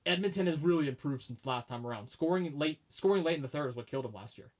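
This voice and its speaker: Fast-talking opinionated man. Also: a little high, expressive. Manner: opinionated, emphatic sports takes. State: losing his voice. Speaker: podcast co-host. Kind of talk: casual sports talk.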